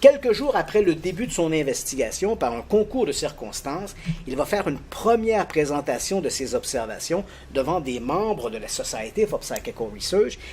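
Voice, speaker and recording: A middle-aged man explaining a strange case as a guest, telling it like an animated storyteller who chuckles easily. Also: normal speed, slight background noise. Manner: animated, lively storyteller with frequent pitch jumps. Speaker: guest expert on paranormal cases.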